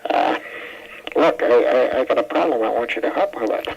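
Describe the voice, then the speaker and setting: Man acting very creaky, genial male academic. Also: medium pitch, lively intonation, moderate pace, highs cut off, exaggerated voice. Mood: genial, puzzled. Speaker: actor in a radio play. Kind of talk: 1980s radio drama acting. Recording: old radio drama recording.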